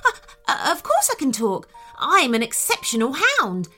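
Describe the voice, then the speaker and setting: A woman's voice: high voice, wildly swooping pitch, moderate pace, sharp tone, soft background music, heavily acted. A woman voicing a proud, chatty male dog who boasts of being a hide and seek champion. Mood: boastful. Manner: boastful, hesitant fillers.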